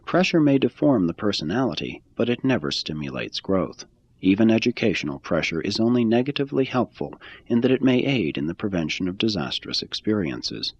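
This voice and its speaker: Deep husky man reading a religious text. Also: no echo, dull audio. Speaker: reader.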